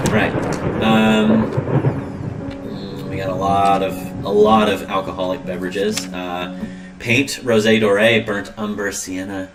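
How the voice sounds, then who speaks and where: A man's voice: fairly low pitch, distant mic, poor audio. A man casually commentating on a game he is playing, rambling and joking, with lots of ums and uhs. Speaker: let's play host.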